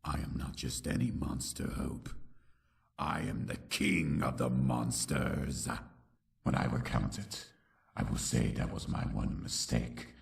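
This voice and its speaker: A man voicing a brooding, vengeful monster king in a comic dub, with a gravelly, dramatic and menacing delivery. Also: extremely low pitch, takes it slowly, roomy sound, exaggerated voice. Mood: proud, menacing, then bitter regret. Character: villainous monster king, brooding, vengeful, bitter male tyrant pony.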